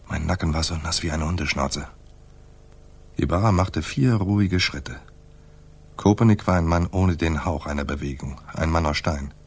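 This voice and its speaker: A man playing a laconic hardboiled private detective in a radio noir drama, dry and matter-of-fact. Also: rumbling low. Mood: tense narration. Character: laconic hardboiled male private detective narrating his case. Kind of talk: 1980s radio noir narration and dialogue.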